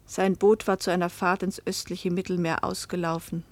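A woman's voice: fairly low pitch, flat delivery, moderate pace, no room sound, lightly acted. An actress playing a poor fishing-town woman who recounts her hard life in a restrained literary radio play narration. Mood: sorrowful, restrained.

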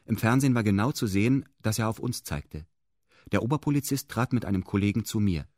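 A man's voice: on the low side, expressive, fairly quick, darkish tone, no room sound, studio quality. A man narrating a radio drama in a formal, matter-of-fact, detached way. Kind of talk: scripted radio drama narration in first person.

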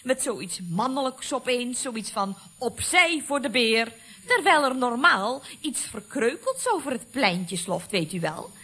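A female secretary in a vintage radio comedy. She is chatty and confiding, with a mock-soothing manner. Her voice is fairly high and swoops around, with a husky edge. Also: sing-song, unhurried, bright, forceful tone, exaggerated voice. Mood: chatty, knowing. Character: chatty, knowing female office secretary at a building firm. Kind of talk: 1970s Dutch radio comedy acting.

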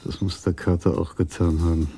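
An actor playing a shrewd older police commissioner in a radio drama, reasoning methodically and calmly. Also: male voice, low-pitched, fairly quick, soft background music. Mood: reflective, shrewd. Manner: methodical, reflective.

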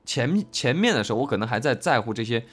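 A male voice actor talking casually and reflectively about his work, earnest and halting, often repeating his phrases. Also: mid-range voice, sing-song. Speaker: voice actor discussing an audio drama. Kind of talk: casual, reflective cast chat.

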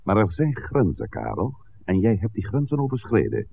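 Stern village priest, very low gravelly voice, admonishing, old radio drama. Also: male voice, huge pitch swings, exaggerated voice. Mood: stern, reproachful. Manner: admonishing, measured, formal. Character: stern village priest scolding his parishioners, shrewd and authoritative. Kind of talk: 1960s radio play acting. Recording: tinny, noisy old radio recording.

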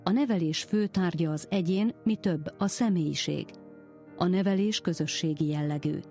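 A woman narrating a programme from a script in short, measured statements. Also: lowish voice, mellow. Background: faint music.

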